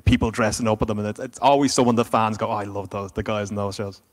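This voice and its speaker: A middle-aged man hosts a stage panel with a handheld microphone. He asks his questions conversationally, in a fairly low voice and at a quick clip. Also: steady tone, in a large hall. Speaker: panel host, interviewer. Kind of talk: conversational interviewing.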